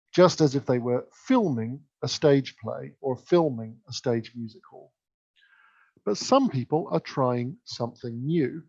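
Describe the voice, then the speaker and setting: A man's voice: on the high side, mellow. A man giving an instructive, emphatic conference keynote presentation. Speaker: keynote speaker. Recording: dull lo-fi sound.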